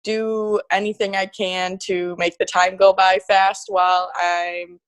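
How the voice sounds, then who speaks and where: A woman's voice: mid-range voice, little pitch movement, a little slow, smooth, no echo. A woman of about twenty with an American accent, chatting casually as a college athlete guest. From Minnesota, United States. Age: around 20. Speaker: guest, college tennis player. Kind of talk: casual chat.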